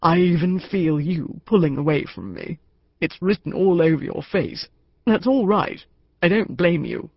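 A woman reader voicing a despairing older male company chairman who wails his bitter complaints slowly. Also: mid-range voice, sing-song, creaky, breathy edge, dry recording, highs cut off, heavily acted. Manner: wailing, bitter, slow.

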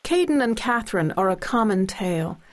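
A woman narrating a literary story in verse as an audiobook, with measured, dramatic recitation. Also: mid-range voice, animated.

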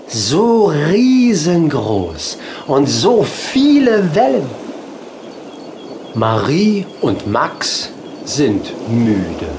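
A storybook narrator reading a children's tale in a deliberate, expressive way. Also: medium pitch, noisy background. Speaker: audiobook narrator.

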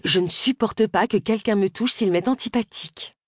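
A woman's voice: mid-range voice, normal speed, no echo, clean studio sound, pitched above the performer's own voice, lightly acted. A woman voicing a gushing, easily indignant young single mother who serves as a village club's secretary. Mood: gossipy. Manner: effusive, indignant.

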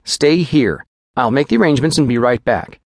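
A man's voice: medium pitch, lively intonation, normal speed, no room sound. A man playing a rattled middle-aged doctor, speaking in a fussy, clinical and nervously reassuring way.

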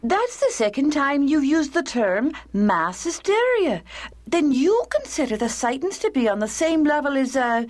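A woman playing a formal, inquisitive broadcaster in an audio drama, with a measured presenter's delivery. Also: high voice, very animated. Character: brisk female broadcast newsreader and talk show host. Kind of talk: acted news broadcast and studio interview.

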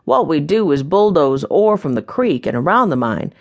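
A woman's voice: low voice, very animated, moderate pace, creaky, a little mellow, dull audio, full character voice. A woman voicing a friendly, businesslike man with a folksy, salesmanlike manner in a children's audiobook. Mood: welcoming, informative. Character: friendly businesslike man, owner of gem mine.

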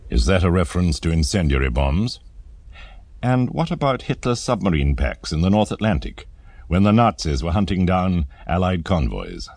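A man narrating a scripted dramatised documentary in a measured, theatrical manner, posing rhetorical questions. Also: sits low, sing-song, a little mellow, no room sound, clean studio sound.

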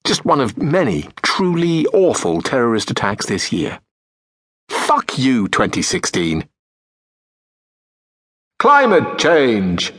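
A British man narrating an audiobook in a sardonic, profane, deadpan comic style. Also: takes it slowly, pauses often, breathy edge, dry recording, clean studio sound. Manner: lively, wide-ranging pitch. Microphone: close studio mic.

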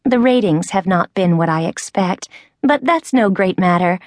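A woman's voice: medium pitch, expressive, moderate pace, a little mellow, no echo, studio quality, natural voice. A woman voicing a gushing, chattering short woman meeting her childhood friend, in a storybook reading. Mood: chatty. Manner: effusive, chattering.

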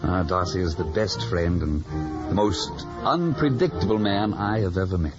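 An amiable, lovestruck young gentleman gushes with courtly manners in a radio costume drama. His fairly low voice swings eagerly up and down. The recording is dull, with music behind. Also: expressive, moderate pace. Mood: courtly, cheerful. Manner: courtly, effusive, hesitant. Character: amiable, smitten, gushing young gentleman at a ball. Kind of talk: period radio drama acting.

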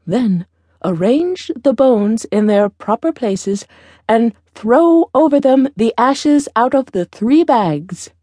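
A woman voicing a wise old beggar woman in a storybook tale, speaking in a deliberate, imploring and instructive manner. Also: a little high, dark tone, no room sound, studio quality.

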